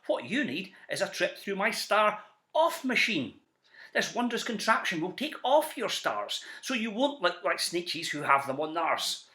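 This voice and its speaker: A man's voice: high voice, very animated, measured pace, bright, forceful tone, heavily acted. A man voicing a sly, slick travelling salesman in a storybook, with keen, persuasive patter. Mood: sly coaxing.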